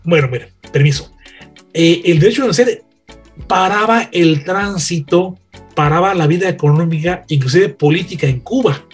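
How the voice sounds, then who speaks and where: Male radio veteran, raspy-edged higher voice, emphatic storytelling with repeated phrases. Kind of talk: animated anecdote-telling in a panel chat.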